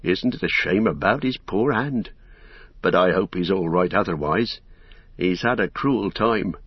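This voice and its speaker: A man's voice: deep voice, unhurried, slightly rough, dry recording, lo-fi sound, full character voice. A man voicing a humble young hobbit gardener in a storybook, plain-spoken, full of exclamation and wonder. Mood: concerned.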